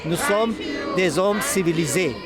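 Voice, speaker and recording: A man at an outdoor protest speaking haltingly in a street interview, with many pauses and fillers. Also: sits high. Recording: crowd and music behind.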